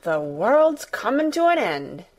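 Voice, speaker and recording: A woman's voice: mid-range voice, lively intonation, unhurried. A woman voicing an agitated, plain-spoken young housemaid, exclaiming in dialect as in a storybook. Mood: astonished.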